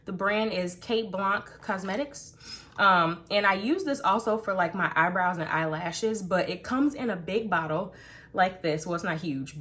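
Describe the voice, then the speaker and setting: A woman's voice: fairly low pitch, fairly bright tone. A young pregnant woman with a nasal, congested voice, casually chatting on her vlog while she oils her hair at her home vanity, rambling with lots of fillers and restarts. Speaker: beauty vlogger. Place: home vanity room, indoors.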